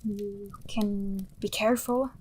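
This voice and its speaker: A woman's voice: medium pitch, fairly even intonation, takes it slowly, a little mellow, slightly rough, poor audio. A woman acting a weary, streetwise underworld survivor in a fantasy audio drama, reassuring and wry, with a casual, coaxing manner. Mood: soothing, firm.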